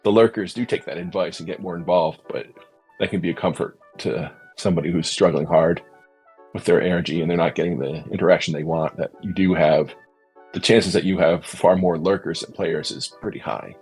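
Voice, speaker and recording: A man's voice: low-pitched, steady tone, fairly quick, a little mellow, husky, faint music behind, low-quality recording. A man hosting a podcast, talking in an earnest, thoughtful way and searching for his words in a casual discussion. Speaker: podcast host.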